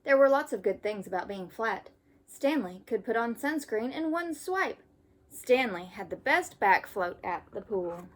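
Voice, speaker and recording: A woman reading a children's story aloud like a teacher, with expressive storytelling. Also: on the high side, a little slow.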